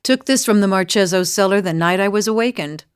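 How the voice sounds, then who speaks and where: A woman's voice: medium pitch, fairly quick, smooth, no echo, studio quality, lightly acted. An actress playing a wry, world-weary adventurer woman in a video game, conversational and reflective, as if haunted by old memories. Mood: gently resolved. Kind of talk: video game character dialogue.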